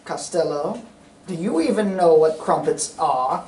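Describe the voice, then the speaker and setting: A woman's voice: low-pitched, lively intonation, measured pace, darkish tone. A woman playing the sensible, exasperated straight partner in an old-time radio comedy routine, prompting and scolding. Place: stage reader's theatre performance. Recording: echoey stage, distant mic, background noise.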